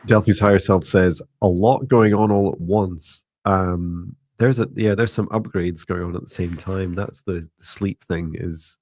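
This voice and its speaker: A man with a deep, steady voice that stays in one even tone, giving a slow, meditative psychic reading on a livestream with many fillers. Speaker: psychic mentor livestream host.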